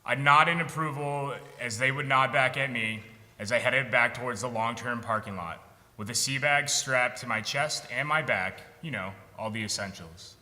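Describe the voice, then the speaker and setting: A man's voice: medium pitch, normal speed, bright, forceful tone. A young American man, a veteran, reading a frank, sardonic personal monologue on a theatre stage. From United States, Michigan. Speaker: military veteran performer. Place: theatre stage in an arts center. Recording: reverberant hall with music behind.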